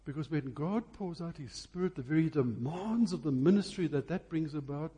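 A man's voice: on the high side, moderate pace, dark tone, breathy edge. A man preaching a sermon, phrasing emphatically and repeating key words for effect.

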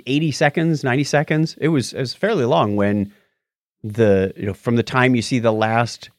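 A man's voice: mid-range voice, steady tone, moderate pace, dry recording, studio quality. An American man co-hosting a show, chatting casually through news stories in a chuckly, explanatory way. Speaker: podcast co-host.

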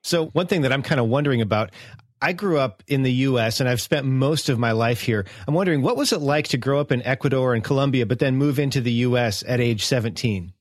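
A man's voice: medium pitch, quick pace, no room sound, clean studio sound. A man interviewing a guest in casual, loosely phrased questions.

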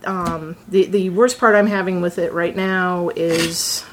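A woman talking casually in a round-table discussion, halting as she thinks her way through explanations. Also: on the low side, steady tone. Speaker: voice actor and writer. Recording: noisy group room recording.

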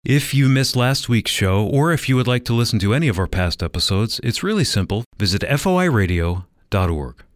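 A man's voice: medium pitch, big pitch swings, brisk, hardly any pauses, dry recording, clean studio sound. An American man hosting a radio show in a scripted announcing style. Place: dry studio.

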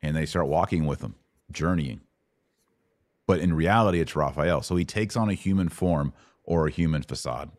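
A man's voice: rumbling low, normal speed, no room sound. A middle-aged man hosting a podcast, casually teaching in a live Bible Q&A and explaining things with paraphrased quotes in everyday words. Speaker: podcast host answering Bible questions.

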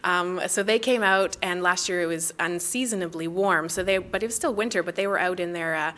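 A woman's voice: on the low side, a little fast, a bit bright. A woman with a Canadian accent chatting enthusiastically and casually as a festival organizer being interviewed.

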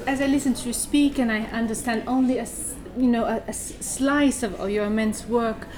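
A woman interviewer asking questions haltingly and backing the guest with frequent "mm-hmm". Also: fairly high pitch, fairly even intonation, a crowd in the background.

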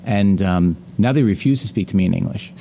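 A man's voice: low voice, a little fast, vocal fry, dark tone, slight background noise. A middle-aged man hosting a casual panel conversation, speaking in a chatty, rambling, anecdotal way. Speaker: podcast host.

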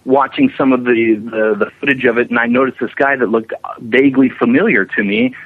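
A man's voice: mid-range voice, expressive, a little fast, creaky, slightly rough. A middle-aged man chatting casually as an interview guest, rambling along with self-deprecating jokes. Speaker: interview guest, special effects animator. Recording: narrow-band phone or internet call.

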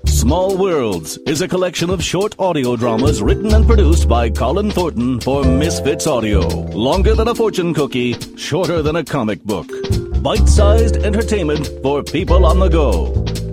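A man's voice: fairly high pitch, very animated, measured pace. A man narrating a scripted trailer for audio dramas as an announcer. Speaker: promo announcer. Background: music.

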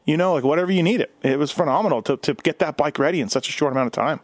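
A man's voice: on the high side, very fast, dry recording. A man hosting a casual interview, chatting enthusiastically and rambling through his questions. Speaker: podcast host, former race mechanic.

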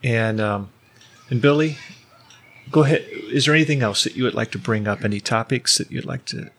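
A man moderates an informal interview. His voice is of average pitch. He guides the talk in an easygoing, slightly tentative manner. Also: brisk, a bit bright, a little hiss or hum. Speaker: host.

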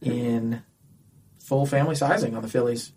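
A man's voice: mid-range voice, normal speed, background noise. A man on a podcast panel, chatting casually as he reads out and comments on a list of releases.

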